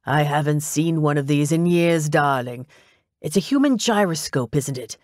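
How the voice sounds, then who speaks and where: A man's voice: on the high side, animated, normal speed, dry recording, studio quality, natural voice. A woman narrator voicing a playful, flamboyant man with a theatrical, lilting drawl in an audiobook. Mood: amused, teasing.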